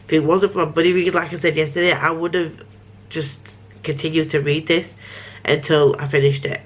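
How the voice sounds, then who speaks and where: A woman's voice: low-pitched, steady tone, normal speed. A young woman rambling casually about a book she's reading, a bit sniffly, often restarting her sentences. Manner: chatty, self-interrupting, frequent restarts. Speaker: book vlogger. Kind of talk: rambling casual vlog chat.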